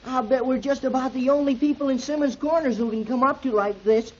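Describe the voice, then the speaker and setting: High-pitched farm lad, keen and folksy, excitable pal in a vintage radio drama, dull old sound. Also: female voice, fairly quick. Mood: impressed, earnest. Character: eager, folksy young country boy, Annie's pal. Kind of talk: 1930s radio acting. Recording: noisy, dull 1930s radio recording.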